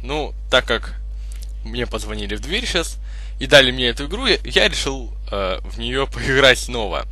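A young man hosting a gaming video with casual, halting, improvised gameplay commentary. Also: mid-range voice, lively intonation, pushed and bright, dry recording. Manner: hesitant, halting, improvised phrasing.

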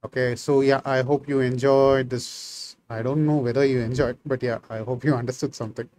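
A man's voice: mid-range voice, flat delivery, fairly quick, darkish tone, a little hiss or hum. Rambling man, Tamil native, thinking aloud. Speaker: programming tutor. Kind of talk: casual screen-share coding tutorial. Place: small room indoors.

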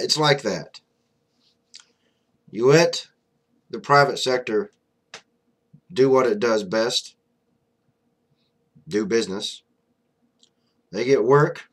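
A man's voice: medium pitch, fairly bright tone. A young man giving casual, blunt political commentary to a desk webcam in a small room, full of fillers. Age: young adult. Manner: blunt, conversational, many fillers like "you know". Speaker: vlogger. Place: small room indoors, flag on wall behind.

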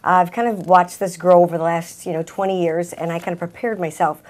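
A woman's voice: lowish voice, steady tone, a little fast, darkish tone. A middle-aged woman, a salon owner, talking conversationally as a guest in a talk-show interview. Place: small TV talk-show set indoors.

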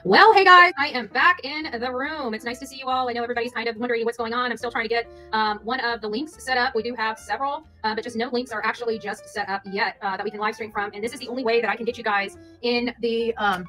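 A woman's voice: a little high, steady tone, quick pace, hardly any pauses, bright, forceful tone, faint music behind. A middle-aged woman chatting casually to livestream viewers, scattered and repeating her words as she goes. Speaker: livestream host covering a court case. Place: outdoors outside a courthouse at first, later a hotel room.

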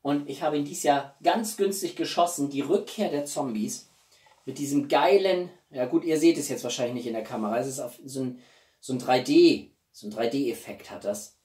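A man's voice: medium pitch, normal speed, darkish tone. Guy in his 40s doing a casual vlog, opinionated and emphatic, echoey room, mic a bit far off. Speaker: YouTube film reviewer and channel host. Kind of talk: casual chat to camera about a film collection. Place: small room at home, indoors. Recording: roomy, distant microphone.

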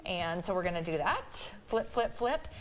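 A woman's voice: on the low side, big pitch swings, normal speed. A woman in her thirties with a Midwestern American accent, a dietitian explaining a recipe in a chatty way with many fillers during a TV cooking segment. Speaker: dietitian guest. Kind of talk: cooking demonstration on morning TV. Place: home kitchen TV set.

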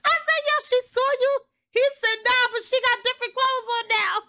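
A young woman telling a story casually on a livestream, expressive, slangy and sweary. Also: very high voice, normal speed. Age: young adult. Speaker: game streamer.

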